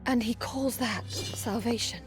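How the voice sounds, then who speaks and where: An actress playing a resolute, righteous warrior valkyrie in a video game cutscene, with formal and solemn delivery. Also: female voice, a little high, unhurried, lightly acted. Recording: music behind the voice.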